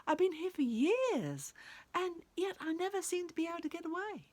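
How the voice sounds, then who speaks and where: A weary, pleading ferryman from a folk tale, voiced by a woman. The voice is high and plaintive, with big swings of intonation. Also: very animated, normal speed, darkish tone. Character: weary ferry boatman stranded for years, pleading.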